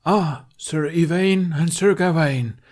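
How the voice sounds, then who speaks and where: A man's voice: fairly high pitch, takes it slowly, a little mellow, pitched above the performer's own voice. A man voicing an exasperated young woman who declaims theatrically as King Arthur in a story reading. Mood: grand, strained. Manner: strained, declamatory stage delivery. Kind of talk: character voice in fan fiction reading.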